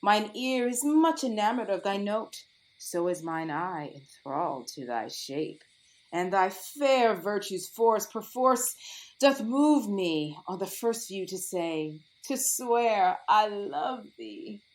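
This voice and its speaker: A woman's voice: mid-range voice, expressive, slow pace, poor audio. An actress playing a lovestruck, commanding fairy queen, grand and declamatory in a Shakespearean audio play. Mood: enamoured. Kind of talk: theatrical Shakespearean audio drama acting.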